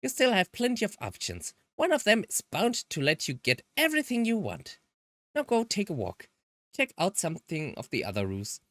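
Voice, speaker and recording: A woman's voice: medium pitch, huge pitch swings, moderate pace, dry recording, studio quality, pitched above the performer's own voice. A woman voicing a breezy, cryptic and sinister young woman from a visual novel, teasing in a sing-song cheer. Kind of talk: visual novel character dubbing.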